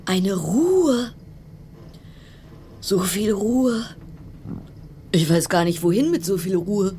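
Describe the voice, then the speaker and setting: An actress playing a brittle, self-reassuring woman isolated in a ship's cabin, musing haltingly and repetitively in in a radio play, at times dropping into whispers. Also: mid-range voice, expressive, warm tone, slight background noise. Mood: restless musing. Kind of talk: German radio play monologue.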